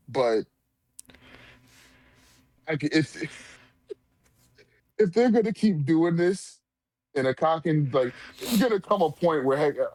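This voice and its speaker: Higher-pitched slangy man, casual and halting. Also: dry recording. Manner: slangy, profane, halting with repeated phrases. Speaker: co-host of a manga discussion. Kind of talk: casual chat.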